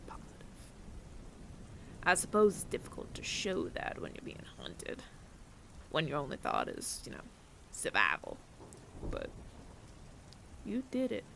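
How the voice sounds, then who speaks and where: Man acting a breathy, creaky, world-weary bounty hunter. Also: sits high, noisy background, full character voice. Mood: weary, resigned. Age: older. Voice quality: breathy, often near-whispered. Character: world-weary male android bounty hunter with reluctant mercy. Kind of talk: intimate ASMR audio roleplay.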